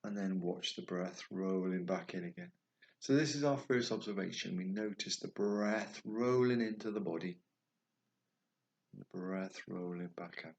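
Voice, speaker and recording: A man guiding a meditation, speaking slowly and softly, at times dropping toward a whisper. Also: sits low, gravelly, dull audio. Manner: slow, soft and soothing, trailing off toward a whisper. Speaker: meditation guide. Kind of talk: guided meditation.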